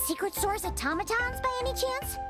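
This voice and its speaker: A woman voicing a small, excitable, inquisitive fairy companion in a video game, with a squeaky childlike voice. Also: a little fast. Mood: curious. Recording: studio voice over game music.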